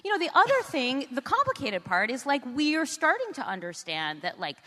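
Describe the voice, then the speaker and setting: A woman's voice: high-pitched, huge pitch swings, fairly quick, sharp tone. An American woman giving informal, emphatic interview answers, punctuated with rhetorical questions. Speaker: interview guest, author. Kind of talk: conversational interview. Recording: echoey hall with long reverb.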